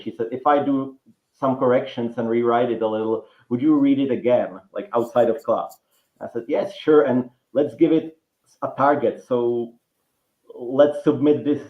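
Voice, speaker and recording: A man with a Czech accent, a writing teacher and guest author, talking in a rambling way with many restarts and fillers. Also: mid-range voice. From Czech Republic. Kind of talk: relaxed interview conversation. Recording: roomy, dull lo-fi sound.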